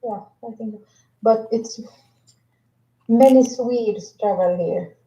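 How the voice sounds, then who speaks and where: A woman from Finland joins a livestream chat, her voice very dark and mellow. She jokes drily, and her audio comes through a roomy, dull remote line. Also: a little high, takes it slowly. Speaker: livestream guest. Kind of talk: casual chat on a call.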